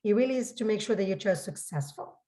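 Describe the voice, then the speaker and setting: A woman with a mid-range voice speaks as a school registrar fielding questions. Her delivery is informal and explanatory, and she often restates a point to make it clear. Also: mid-range voice, animated, fast, mellow. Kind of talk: informal spoken explanation in a public Q&A.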